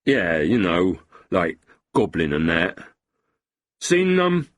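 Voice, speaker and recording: A man voicing a boozy, sentimental lowlife with a husky voice and a blustering, self-pitying way of talking. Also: sing-song, takes it slowly, dry recording, exaggerated voice. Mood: confiding.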